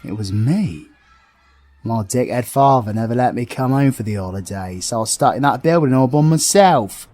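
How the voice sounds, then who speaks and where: British woman doing a sweary sarcastic villain guy, low voice that swings all over, comedy audio drama. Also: normal speed, faint music behind. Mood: subdued, wistful. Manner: crude, sarcastic, excitable. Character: cocky, foul-mouthed male villain forced into Scrooge's role. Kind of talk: comic audio drama acting.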